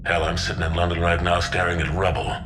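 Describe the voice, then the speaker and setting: A man playing a seasoned, gruff older admiral with a blunt, frank manner in a video game. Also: deep voice, completely flat delivery, quick pace, background noise, lightly acted. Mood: somber, resolute. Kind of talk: dramatic video game acting.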